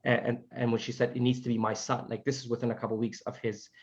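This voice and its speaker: A man whose native language is Farsi, answering earnestly on an online panel, haltingly and often repeating his phrases. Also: mid-range voice, flat delivery. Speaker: panelist, family advocate. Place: online video call. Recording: dull, lo-fi call audio.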